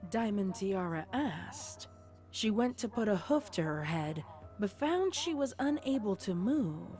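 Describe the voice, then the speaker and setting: A woman's voice: medium pitch, sing-song, unhurried, a little mellow, faint music behind. A woman narrator reading a scripted story with dramatic storytelling delivery.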